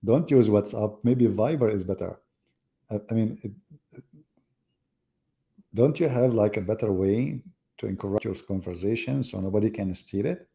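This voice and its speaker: Gravelly, mocking man on a live debate. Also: lowish voice, moderate pace, long pauses, warm tone. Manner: mocking, sarcastic rhetorical questions. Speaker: debate host. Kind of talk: live online debate monologue.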